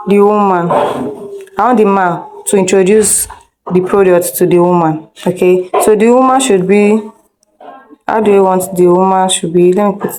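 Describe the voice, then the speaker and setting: A woman's voice: mid-range voice, low-quality recording. A woman teaching an informal step-by-step screen tutorial, instructing directly, repeating herself and checking that learners follow. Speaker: video editing instructor.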